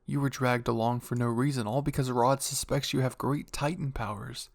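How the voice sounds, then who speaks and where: A man's voice: medium pitch, fairly even intonation, moderate pace, creaky, dry recording, clean studio sound, natural voice. A man voicing a despairing, self-blaming teenage boy, earnest and downcast, in dramatic fan fiction roleplay. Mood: dejected.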